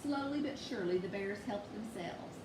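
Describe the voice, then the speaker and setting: A woman reading a children's bedtime story aloud with expressive, measured delivery. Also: medium pitch. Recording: echoey room, distant mic, noisy background.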